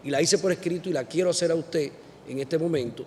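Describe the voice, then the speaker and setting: A man from the Dominican Republic speaks from the floor as a parliamentary delegate, building his point earnestly toward a pointed question. His voice sits somewhat high for a man. The sound carries a long hall reverb with faint background noise. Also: fast, a little mellow. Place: large conference hall.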